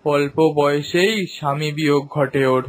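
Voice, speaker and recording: A man's voice: fairly high pitch, steady tone, normal speed, close to an everyday voice. A man playing a humble, elderly village washerman in a cartoon, speaking in a deferential, plaintive way. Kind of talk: Bengali cartoon voice acting.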